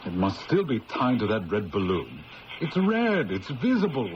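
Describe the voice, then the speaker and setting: A stammering, jittery crook. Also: male voice, fairly low pitch, big pitch swings, moderate pace, highs cut off. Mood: anxious. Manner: stammering, defensive. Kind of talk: 1970s radio drama acting. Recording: hissy old radio broadcast.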